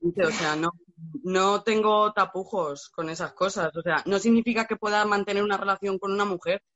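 A woman's voice: mid-range voice, normal speed, fairly bright tone, slight background noise. A young woman from La Mancha speaks with a Castilian accent in a casual, candid chat. Her phrasing is colloquial and the recording is slightly dull and muffled. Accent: Castilian Spanish. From La Mancha, Spain.

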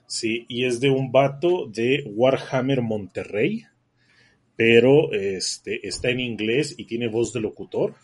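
A man with a northern Mexican accent talks through a casual podcast chat, rambling and circling back with fillers and restarts. His voice is husky and slightly breathy, at an ordinary middle pitch for a man. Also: slow. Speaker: podcast co-host.

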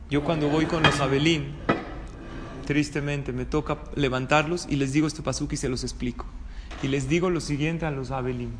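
A Mexican man, a rabbi, giving an informal religious talk in a conversational way, often putting questions to his listeners. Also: on the high side. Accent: Mexican Spanish. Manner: conversational, checking in with listeners through rhetorical questions. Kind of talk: informal religious sermon with anecdotes. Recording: reverberant hall, clear background room noise.

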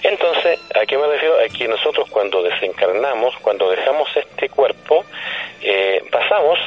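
Man, Chilean accent, mid pitch, halting explainer, conversational radio guest, muffled narrow-band audio. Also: lively intonation, slightly rough. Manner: didactic, halting, frequently seeks confirmation. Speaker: radio guest on paranormal topics. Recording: dull, band-limited phone or radio line.

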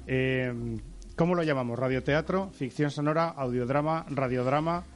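A man's voice: mid-range voice, moderate pace. A man with an accent from Spain presenting live radio as host. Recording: faint background noise.

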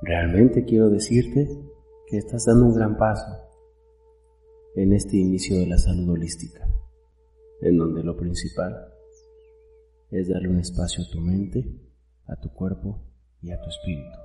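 A man guiding a meditation in a soft, warm, calm and gentle voice, unhurried and full of pauses. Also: low voice. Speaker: host and guide of a guided meditation show. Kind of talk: guided meditation, soothing narration. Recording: roomy echo, distant mic, soft music.

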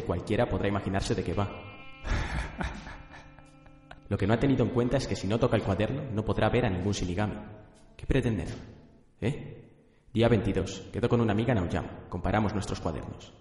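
An actor playing an arrogant, calculating young killer in a radio drama, scheming and disdainful. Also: male voice, lowish voice, a little mellow, natural voice. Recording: echoey long reverb, faint music.

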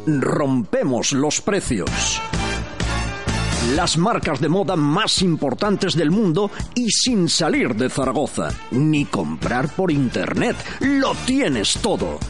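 A man with a Spanish accent announces a scripted shop advert. His delivery is slow and swooping, with big melodic movement, over prominent music. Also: fairly high pitch, huge pitch swings. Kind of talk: scripted retail radio advert.

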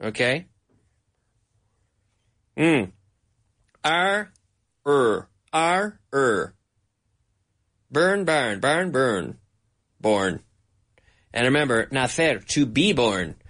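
A Canadian man giving a bilingual English lesson, speaking slowly and repeating words over and over so learners can catch the sounds. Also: medium pitch, very animated, a bit bright. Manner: slow, repeats words for drilling. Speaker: English teacher.

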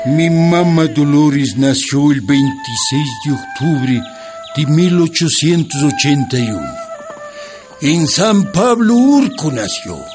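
Slow Andean peasant narrator, high-voiced. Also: male voice, lively intonation. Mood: solemn, sorrowful. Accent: Ecuadorian Andean. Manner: solemn storytelling. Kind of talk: scripted radio drama narration. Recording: music bed under the voice.

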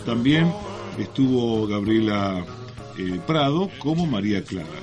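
A man's voice: fairly low pitch, expressive, measured pace, over music. A man with an Argentine accent co-hosting a casual radio show in a wry, tongue-in-cheek manner. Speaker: radio host. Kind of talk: casual co-hosted radio talk. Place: radio studio.

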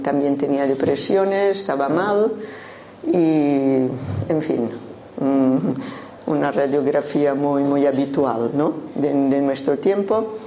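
Slow, mellow Menorcan woman teaching. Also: a bass voice. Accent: Menorcan Spanish. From Menorca, Spain. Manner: unhurried, thoughtful, with frequent hesitations. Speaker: Buddhist teacher. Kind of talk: informal talk to an audience. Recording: roomy hall with faint background noise.

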